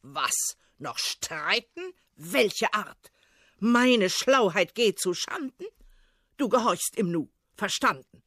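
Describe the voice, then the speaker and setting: A woman's voice: low-pitched, very animated, slow pace, husky, no echo, clean studio sound, pitched below the performer's own voice, heavily acted. A woman voicing a vain, blustering old male tsar with a pompous, threatening manner. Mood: furious.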